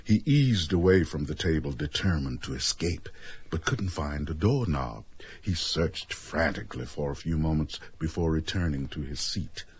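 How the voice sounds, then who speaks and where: A man with a deep, husky voice delivering scripted third-person narration for a radio drama. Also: expressive, normal speed, no room sound.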